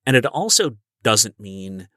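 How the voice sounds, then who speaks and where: A man's voice: on the low side, sing-song, a little slow, sharp tone, dry recording, studio quality. A man speaking as a guest voice actor on a podcast, explaining things casually and emphatically through everyday analogies. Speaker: guest voice actor and audiobook narrator. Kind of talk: casual instructional podcast conversation.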